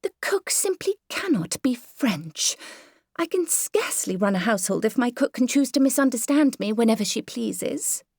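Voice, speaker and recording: Female reader as genteel English Victorian mother: high, airy, fussy chatter, self-satisfied, audiobook, dry studio sound. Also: animated, moderate pace, darkish tone, husky, no echo. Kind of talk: dramatised audiobook character dialogue.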